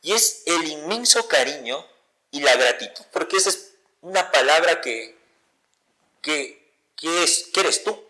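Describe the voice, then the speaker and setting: A man's voice: a little high, expressive, a little slow, long pauses, pushed and bright, poor audio. An Ecuadorian man hosting a casual interview, feeling for his words and repeating phrases for emphasis. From Ecuador, born in Quito. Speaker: podcast host, interviewer.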